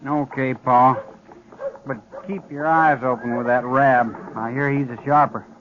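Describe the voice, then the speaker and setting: An American actor playing a steady, laconic young rancher son in a 1950s radio western. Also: male voice, mid-range voice, a little slow, natural voice. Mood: easygoing. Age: young adult. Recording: muffled, noisy vintage radio recording.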